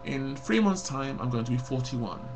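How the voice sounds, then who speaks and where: A man's voice: mid-range voice, animated, normal speed, very noisy. A man of forty talking frankly and bluntly to a webcam in a personal confessional vlog, rambling and reflective. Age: 40s. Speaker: vlogger.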